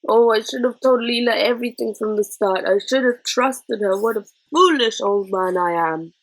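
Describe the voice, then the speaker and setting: A woman's voice: on the high side, moderate pace, poor audio. A woman voicing an anxious, kindly old man in a storybook, fretful and cautioning. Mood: worried, regretful.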